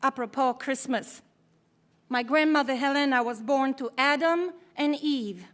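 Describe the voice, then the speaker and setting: High-voiced woman giving a measured keynote speech. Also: a bit bright. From Poland. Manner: measured, deliberate delivery. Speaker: keynote speaker, professor. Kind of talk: formal commencement speech.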